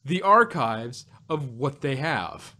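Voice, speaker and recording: A man's voice: medium pitch, expressive, slow pace, bright, forceful tone. A man co-hosting an audio drama review podcast, talking in a rambling way with many restarts and fillers. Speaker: podcast co-host. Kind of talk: casual chat.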